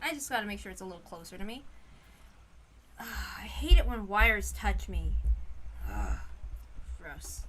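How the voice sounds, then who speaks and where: A woman's voice: medium pitch, big pitch swings, moderate pace, long pauses, slight background noise. A woman reading a story aloud as an amateur narrator, informal and given to apologetic, self-interrupting asides.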